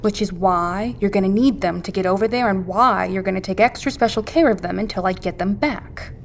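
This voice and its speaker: A woman's voice: mid-range voice, fairly quick, gravelly, a little hiss or hum, close to an everyday voice. Woman playing a firm, practical survivor. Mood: firm, hushed. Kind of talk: naturalistic audio drama acting.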